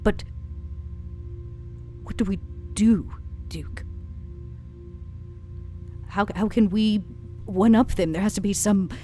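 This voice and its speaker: A woman voicing a weary, sardonic female vampire club owner in a tabletop roleplay drama, wry and given to dramatic pauses. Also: medium pitch, warm tone, soft background music, natural voice. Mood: bitter, searching.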